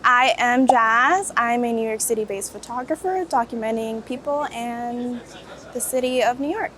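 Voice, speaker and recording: A woman's voice: on the high side, moderate pace, a bit bright. A woman chats casually and enthusiastically in an interview outdoors on a city street. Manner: enthusiastic, informal, peppered with "like". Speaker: street photographer being interviewed. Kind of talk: casual interview chat while walking. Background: street ambience and passing vehicles.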